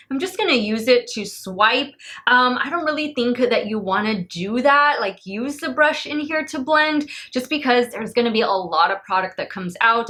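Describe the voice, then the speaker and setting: Woman, late 30s, beauty vlogger; slightly high, bright voice; friendly, chatty delivery. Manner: chatty and upbeat, talks straight to viewers. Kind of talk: casual product review to camera.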